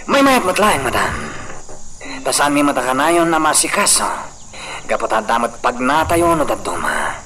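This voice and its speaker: A man's voice: mid-range voice, sing-song, normal speed, sharp tone. A man with an Ilocano accent playing an obliging right-hand man in an audio drama, deferential and eager. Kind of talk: Ilocano radio-style drama acting. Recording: noisy track with crickets and insects.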